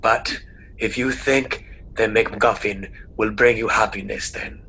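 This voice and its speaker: A man voicing a sly, mocking trickster spirit in a radio play, often in a breathy, gravelly whisper. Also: fairly low pitch, fairly even intonation, sharp tone, a little hiss or hum, low-quality recording. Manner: slow, taunting, theatrical.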